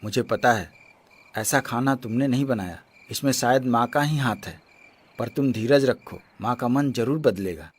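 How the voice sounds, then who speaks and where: A man playing a calm, reassuring young husband in a Hindi cartoon moral story, speaking earnestly and soothingly. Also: medium pitch, normal speed, husky, close to an everyday voice. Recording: music under the voice.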